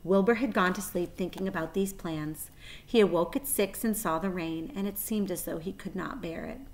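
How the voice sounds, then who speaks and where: A woman's voice: on the low side, moderate pace, low-quality recording. Woman reading a children's book expressively. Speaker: read-aloud storyteller. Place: small plain room indoors.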